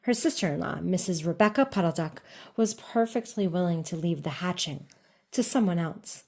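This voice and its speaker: A woman's voice: fairly low pitch, expressive, moderate pace, a little mellow. Woman reading a children's storybook aloud. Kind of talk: scripted storybook narration.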